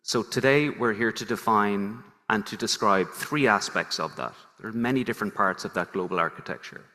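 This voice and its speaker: A man's voice: fairly low pitch, normal speed, pushed and bright. A man moderating a conference panel, polished but with frequent restarts as he frames the discussion. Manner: polished, with restarts as he sets the agenda. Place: large conference hall. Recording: long hall reverb.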